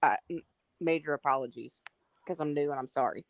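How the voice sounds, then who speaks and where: A woman's voice: sits low, fairly quick, a crowd in the background. A woman with a Southern US accent, talking earnestly and apologetically in a casual online group chat. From Southern United States. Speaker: call-in guest.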